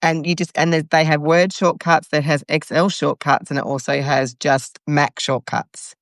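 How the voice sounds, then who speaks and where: A woman with a gravelly edge to her voice chats in a relaxed, agreeable way and laughs easily. Her voice is dry and close, with no echo. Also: low voice, moderate pace. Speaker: podcast co-host. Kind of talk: casual chat.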